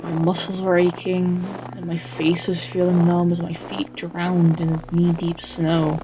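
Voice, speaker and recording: A woman's voice: lowish voice, monotone, a little slow, background noise, close to an everyday voice. A woman voicing a weary, reluctant companion who narrates a bleak trek in a comic dub, in a tired, awkward manner. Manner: tired, awkward, sighing understatement. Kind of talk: first-person scripted narration, comic dub. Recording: background music behind the voice.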